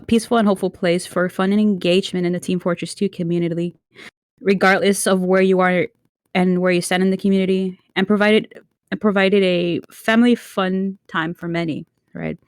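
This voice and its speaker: A woman's voice: mid-range voice, steady tone, dry recording, studio quality. Woman with a murky, under-the-weather voice. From Mexico. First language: Spanish. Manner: halting, with many fillers and apologetic restarts. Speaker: league referee giving a speech. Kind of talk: prepared speech to an online community.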